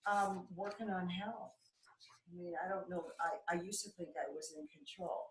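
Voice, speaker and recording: A woman in a group session answering hesitantly and softly. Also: on the low side, steady tone, moderate pace, poor audio. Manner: hesitant, soft-spoken. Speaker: group member.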